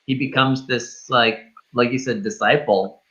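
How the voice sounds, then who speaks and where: A man with a bright, keen-edged voice at an ordinary pitch talks through a film casually. He circles back on his points and swears freely. The sound is a little dull and lo-fi. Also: steady tone, a little slow. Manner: searching, repetitive, sweary. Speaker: film review show host. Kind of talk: casual movie discussion.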